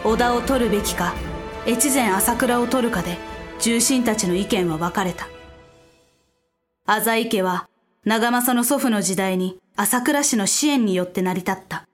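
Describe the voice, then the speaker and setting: A woman narrating a Japanese historical drama from a script in a solemn, deliberate storytelling manner. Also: on the high side, faint music behind, close to an everyday voice.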